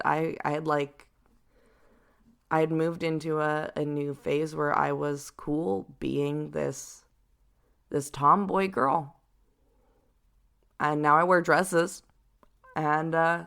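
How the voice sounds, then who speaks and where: A young adult woman with a deep voice, telling personal stories as a podcast host in a candid, self-deprecating, chatty way. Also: gravelly, fairly bright tone. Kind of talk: personal storytelling monologue.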